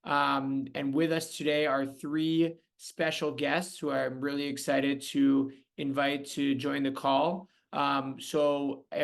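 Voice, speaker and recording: A man's voice: on the high side, creaky, a bit bright. A man hosting an online information session for a youth program, speaking in a friendly way with many ums and uhs over a video call. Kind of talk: webinar presentation.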